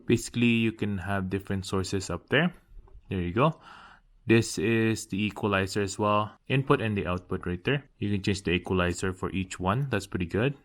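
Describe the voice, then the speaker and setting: Low-voiced man doing a casual product demo. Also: normal speed. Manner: points things out piece by piece in short phrases. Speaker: product reviewer. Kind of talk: casual unboxing and hands-on demo narration.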